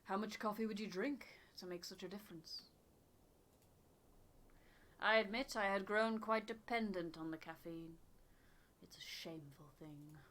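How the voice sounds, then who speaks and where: A woman's voice: medium pitch, measured pace, pauses often, fairly bright tone. A woman co-commentating on a video game playthrough, reading dialogue aloud and chatting about it.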